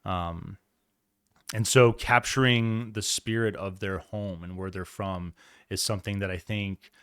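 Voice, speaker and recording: A man's voice: deep voice, fairly even intonation, measured pace, a bit bright, smooth, no room sound, studio quality. A man from the American Southwest giving a rambling, enthusiastic album review full of fillers and restarts. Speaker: music reviewer. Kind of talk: casual album review talk.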